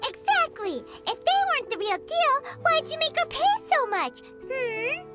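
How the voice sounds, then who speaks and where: Tiny floating game companion, very high squeaky child voice, cheeky, swooping intonation, music behind. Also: female voice, very animated, a little slow, full character voice. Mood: indignant. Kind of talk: video game character acting.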